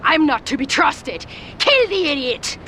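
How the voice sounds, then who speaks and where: Game villainess: treacherous cartel leader, bright forceful edge, animated taunts, slight vehicle noise. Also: female voice, high voice, unhurried, exaggerated voice. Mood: taunting, vicious. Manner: taunting, menacing. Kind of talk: video game cutscene acting.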